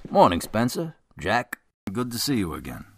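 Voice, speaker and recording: An actor playing a gruff, wry policeman in a radio drama, with an offhand manner. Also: fairly low pitch, huge pitch swings, normal speed, full character voice.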